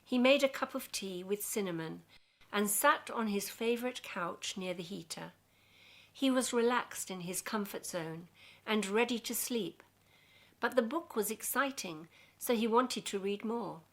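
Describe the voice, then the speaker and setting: A woman narrating a scripted radio play in a measured, serious way. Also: medium pitch. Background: music.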